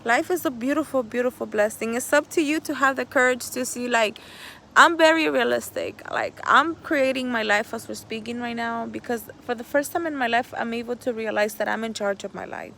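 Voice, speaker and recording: Woman, 30s, high voice, earnest rambling self-help vlog, faint outdoor traffic noise. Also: a little fast, vocal fry, fairly bright tone. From El Salvador. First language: Spanish. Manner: earnest, rambling, swears freely, laughs often. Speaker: vlogger. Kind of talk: casual motivational monologue. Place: outdoors in nature.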